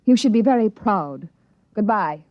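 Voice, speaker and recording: An actress playing an imperious, curt company inspector in a 1950s radio drama, haughty and commanding. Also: female voice, mid-range voice, expressive, a little slow, a little mellow, slight background noise, natural voice. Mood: icy, commanding. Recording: dry, muffled old radio recording.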